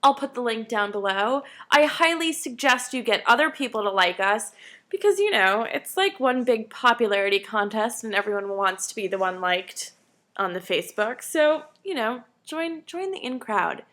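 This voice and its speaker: A woman's voice: on the high side, lively intonation, moderate pace, a bit bright. A woman in her late twenties, a tired vlogger chatting casually and self-deprecatingly to camera. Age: 20s.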